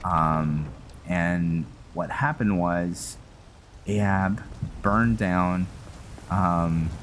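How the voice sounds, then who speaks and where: A man hosting a roleplay game series with casual, halting commentary as he explains lore. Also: low-pitched, fairly even intonation, a little hiss or hum. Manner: halting, thinking aloud.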